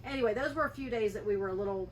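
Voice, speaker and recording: Woman chatting casually over clear background noise. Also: mid-range voice, racing. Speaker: co-host of an RV travel vlog. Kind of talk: casual unscripted chat with partner. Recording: distant mic, clearly noisy background.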